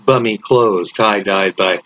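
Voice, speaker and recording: A man reading a dark horror story aloud as its author, in a theatrical, dramatic delivery. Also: lowish voice, slow pace. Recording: noisy, dull lo-fi home recording.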